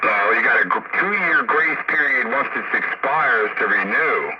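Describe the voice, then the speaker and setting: A man's voice: mid-range voice, expressive, unhurried. A man chatting casually over two-way radio in a colloquial, grumbling way. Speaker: licensed radio operator. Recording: noisy muffled two-way radio transmission. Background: heavy radio static.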